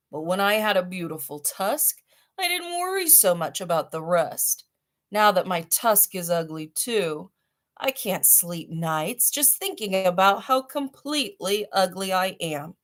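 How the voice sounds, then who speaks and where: A woman voicing an angry, self-pitying old male rhinoceros in a children's storybook, gruff and lamenting. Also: fairly bright tone. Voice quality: deep, gruff. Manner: grumbling, lamenting. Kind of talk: children's storybook read aloud.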